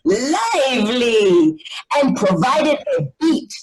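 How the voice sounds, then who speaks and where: Slow, animated woman storyteller, higher voice. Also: poor audio. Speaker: storyteller reading a children's book. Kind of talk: expressive scripted storytelling narration.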